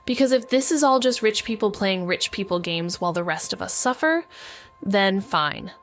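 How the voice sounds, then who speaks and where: An actress playing a wry, bitter, paranoid amateur sleuth, narrating a noir audio drama in a sardonic, brooding way. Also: female voice, mid-range voice, animated, moderate pace, lightly acted.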